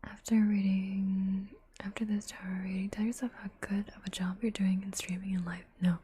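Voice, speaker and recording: A woman hosting an ASMR livestream, chatting softly to viewers in a breathy whisper with unhurried asides. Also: mid-range voice, level tone, a little hiss or hum. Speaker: livestream host, ASMR artist.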